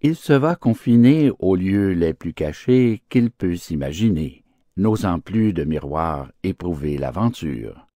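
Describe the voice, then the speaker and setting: Deep-voiced man slowly narrating fables. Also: animated, dark tone, dry recording. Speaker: audiobook narrator. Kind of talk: scripted storybook narration of verse fables.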